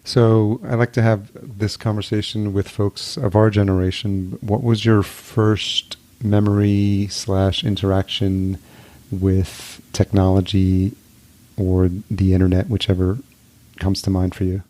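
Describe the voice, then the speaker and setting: A man's voice: lowish voice, flat delivery, unhurried, warm tone. A middle-aged man hosting a podcast, asking conversational interview questions. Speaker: podcast host.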